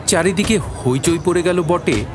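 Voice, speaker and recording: A man's voice: fairly high pitch, a little slow, over music, crowd noise, natural voice. A man narrating a literary village mystery as the storyteller of an audio drama. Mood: solemn, suspenseful.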